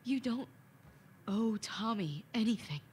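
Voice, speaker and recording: A hurt young American woman, a weary partner and mother in a game drama. She pleads with raw emotion, sometimes hushed, over clear background ambience. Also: on the high side, expressive, takes it slowly, a little hiss or hum. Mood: hurt, challenging. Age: young adult. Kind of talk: dramatic video game cutscene acting.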